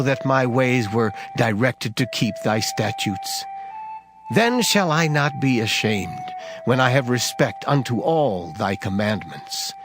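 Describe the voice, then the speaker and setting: A man reads scripture as a dramatized recording. His voice sits in a middle register, with music playing clearly behind him. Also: mid-range voice. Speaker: scripture reader. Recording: reading over a music bed.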